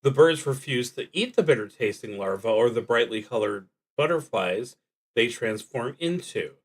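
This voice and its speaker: A man streaming a solo tabletop roleplaying game, reading prompts and thinking aloud in a halting, hesitant way that trails off. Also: medium pitch, expressive.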